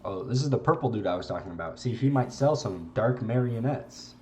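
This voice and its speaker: A young man with a congested voice, giving relaxed, rambling gameplay commentary and swearing casually. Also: medium pitch, a little fast, slight background noise. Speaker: game streamer.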